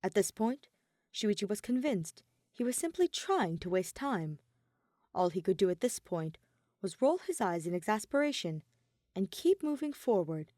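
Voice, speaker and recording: A woman narrates fiction in a medium-pitched voice. She reads dramatically, pausing deliberately for emphasis. The recording is dry, with no room sound. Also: sing-song, dark tone, dry recording.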